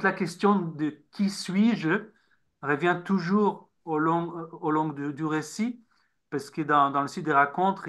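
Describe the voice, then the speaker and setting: Halting man. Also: fairly high pitch, a bit bright. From Israel. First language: Hebrew. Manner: halting, repeats words while searching. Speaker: novelist guest. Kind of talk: interview answers.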